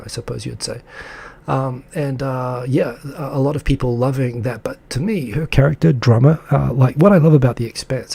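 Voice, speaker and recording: Male live-stream host, dark gravelly tone, chatty and enthusiastic, loose with fillers. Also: medium pitch. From English-speaking country. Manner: rambling and enthusiastic, lots of fillers; reads out and answers viewer comments. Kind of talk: casual live-stream chat.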